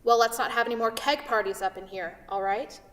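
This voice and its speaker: Woman playing a high-voiced, stern hospital nurse. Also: fairly quick, a bit bright, close to an everyday voice. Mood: brisk, dry. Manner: firm, formally polite. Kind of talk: staged play reading. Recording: echoey hall from far off.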